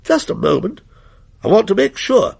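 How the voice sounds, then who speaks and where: A man voicing an excitable, frightened, bossy boy who reports urgently in a dramatic ghost story reading. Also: sits high, normal speed, gravelly, breathy edge, voice pushed up, full character voice. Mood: uneasy.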